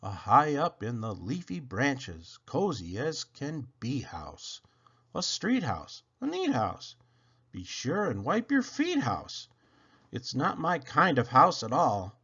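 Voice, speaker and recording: Male storyteller for children's verse: unhurried, very lively pitch swings, theatrical phrasing, slightly dull lo-fi recording. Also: slightly rough. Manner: animated, theatrical, drawn-out phrasing. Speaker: children's poetry reader. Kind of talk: expressive read-aloud of children's verse.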